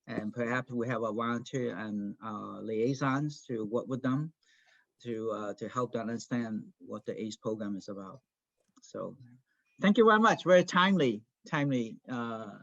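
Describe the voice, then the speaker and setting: A man hosting an informal online group meeting, speaking in a halting, encouraging way over a video call. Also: medium pitch, fairly bright tone. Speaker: meeting host. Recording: muffled, echoey video call audio.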